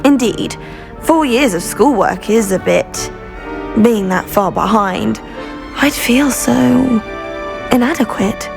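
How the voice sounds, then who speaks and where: A woman's voice: a little high, lively intonation, takes it slowly, creaky, music in the background, full character voice. A woman with a British accent playing a boastful, self-important teenage schoolgirl in a video game, in a haughty, affected manner. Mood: condescending. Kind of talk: video game character dialogue.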